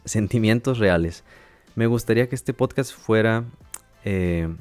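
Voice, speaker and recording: Calm low-voiced man teaching. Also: clean tone, no room sound. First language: Spanish. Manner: calm, measured, with teacherly check-ins and light laughs. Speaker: language teacher and podcast host. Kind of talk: educational podcast monologue.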